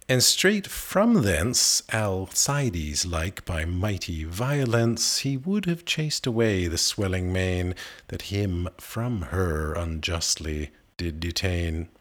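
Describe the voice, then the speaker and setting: Low-voiced man reciting poetry, unhurried. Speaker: poetry reader. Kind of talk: expressive literary recitation of narrative verse.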